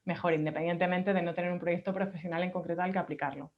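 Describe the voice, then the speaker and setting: Woman moderating, slightly low, dull call audio. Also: steady tone, fast. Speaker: moderator of an online question session. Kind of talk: casual webinar moderating, relaying questions.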